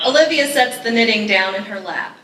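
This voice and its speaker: A woman's voice: a little high, animated, brisk, bright, forceful tone. A woman reading scripted stage directions as narrator at a live table read. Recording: echoey room, distant mic, noisy.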